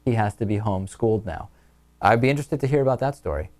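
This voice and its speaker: A man's voice: lowish voice, animated, fast, a little mellow. A man with an American accent hosting a talk radio show, reading listener messages and commenting on them.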